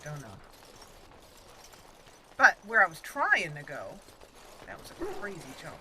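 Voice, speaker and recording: A woman's voice: low-pitched, huge pitch swings, unhurried, sharp tone, slight background noise. A woman hosting a gaming channel, chatting casually and animatedly as she plays and thinks aloud. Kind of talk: casual game commentary.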